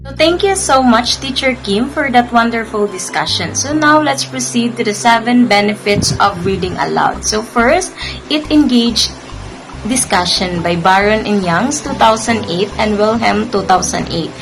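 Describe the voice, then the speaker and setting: A woman presenting a lesson, reading out points and explaining them in a hesitant, halting way. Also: on the high side, animated, poor audio. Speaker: student presenter acting as teacher. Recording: clear music behind the voice. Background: music.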